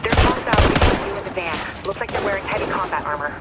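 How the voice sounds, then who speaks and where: Game role: clipped tactical controller, male actor, raised pitch, quick calls over loud battle music. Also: natural voice. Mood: urgent. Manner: urgent, clipped. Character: urgent mission controller calling out enemy threats. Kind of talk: tactical video game dialogue. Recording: loud music and gunfire behind.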